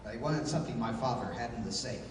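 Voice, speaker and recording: Commanding pulp-hero leader played by a man, analytical 1930s radio manner, mid-pitched voice, very echoey noisy recording. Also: fast talker, natural voice. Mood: grave, deliberate. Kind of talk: 1930s-style radio play acting. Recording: echoey hall from far off, noisy.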